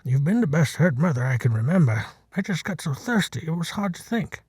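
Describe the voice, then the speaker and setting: An elderly water buffalo bull from an animal storybook, played by a man. He is stubborn and plaintive, pleading in a whiny way, with a soft, mellow edge to the voice. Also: a little high, big pitch swings, fairly quick, slightly rough, no echo. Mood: apologetic.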